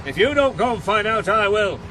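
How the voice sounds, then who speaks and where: A Northern English farm boss in a 1980s radio drama. His voice is high for a man, gruff in manner, scolding with care underneath, with faint vehicle noise behind. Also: lively intonation, a little fast, pushed and bright.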